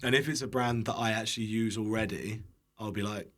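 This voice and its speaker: A man's voice: on the low side, steady tone, fairly quick, a bit bright. A British man telling casual anecdotes as a guest, in a self-deprecating way with some casual swearing. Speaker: guest, internet cooking creator.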